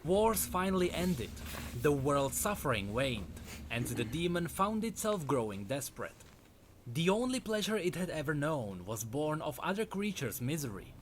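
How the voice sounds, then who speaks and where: A man's voice: a little high, very animated, moderate pace, a little hiss or hum. A man reading scripted fantasy lore narration in a dramatic storytelling way. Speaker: narrator of a game lore video.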